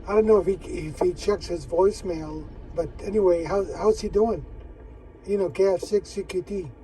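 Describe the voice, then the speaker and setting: A man in casual ham radio chat, folksy, often repeating his phrases with drawn-out pauses. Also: high-pitched, warm tone, far from the mic, a little hiss or hum, rough recording. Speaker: amateur radio operator.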